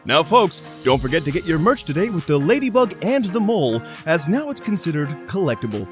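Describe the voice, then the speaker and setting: A man's voice: a little high, big pitch swings, a little fast. An actor playing a flamboyant, gleeful game show host, theatrical and gushing as he commentates over music. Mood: gleeful, showy. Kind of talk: showy live sports-style commentary. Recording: music under the voice.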